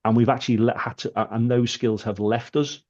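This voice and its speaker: Halting British man on a remote call. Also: mid-range voice, fairly even intonation, a little mellow, muffled recording. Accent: British English. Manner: thoughtful, halting, restarts often. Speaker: podcast co-host. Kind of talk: casual podcast chat.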